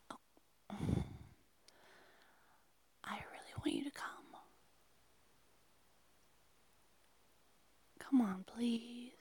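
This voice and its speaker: A seductive woman, played by an actress, whispers as a secret night-time lover in an intimate audio roleplay. Her voice is extremely breathy and hushed. She takes her time, coaxing and pleading insistently. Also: medium pitch, exaggerated voice.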